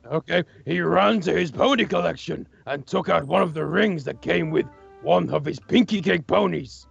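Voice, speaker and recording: A man playing an excitable young man in a Minecraft roleplay, reading silly fan fiction aloud with a gravelly voice. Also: a little high, normal speed, dry recording, dull audio, faint music behind, exaggerated voice. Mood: dramatic storytelling. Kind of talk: hammy storytelling in Minecraft roleplay.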